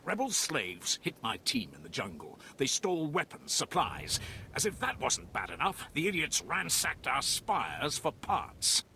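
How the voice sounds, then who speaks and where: An actor playing an urgent Imperial sergeant in a video game, briefing in a forceful, commanding way. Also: male voice, on the high side, wildly swooping pitch, a little slow, a little hiss or hum, heavily acted. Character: urgent Imperial military sergeant rallying against rebels. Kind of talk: video game quest dialogue.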